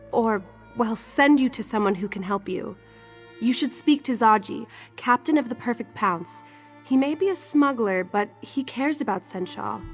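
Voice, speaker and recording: An earnest young general's daughter from a fantasy video game, played by a woman. Her voice is on the high side, spirited and confiding, with soft music underneath. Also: lightly acted. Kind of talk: video game character dialogue.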